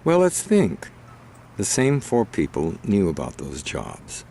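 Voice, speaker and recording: A man playing a sly, sneering crime boss in a video game, speaking with slow, mocking menace. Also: low voice, wildly swooping pitch, vocal fry, dark tone, a little hiss or hum, full character voice. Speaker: gang's scheming partner. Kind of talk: video game cutscene acting.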